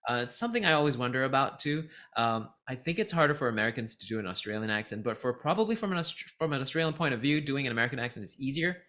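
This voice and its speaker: A man from Singapore giving a casual spoken review as a vlogger, thinking aloud in a halting, reflective way and stressing key words. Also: mid-range voice, animated, sharp tone. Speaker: vlogger reviewing musicals, voice actor and teacher.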